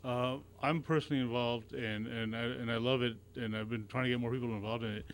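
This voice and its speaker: A man as a radio show guest, talking in casual conversation, earnest and warm, stumbling over his words and restarting often. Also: mid-range voice, steady tone, slight background noise. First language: not Arabic. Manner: halting, with many restarts and repeated words.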